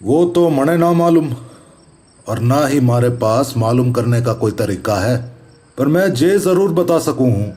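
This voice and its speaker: Man playing a slow-paced rustic paranormal investigator. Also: mid-range voice, mellow. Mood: serious, cautious. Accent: Haryanvi-Rajasthani rural Hindi. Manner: deliberate, instructive. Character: methodical, serious male paranormal investigator, neighbourhood elder brother. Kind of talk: audio horror drama dialogue.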